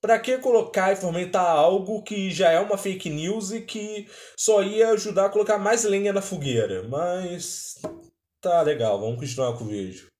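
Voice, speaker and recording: Man, Brazilian accent, higher-pitched voice, casual reaction commentary, conversational, echoey room. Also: normal speed, a little mellow. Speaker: reaction video host. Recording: roomy, echoey sound.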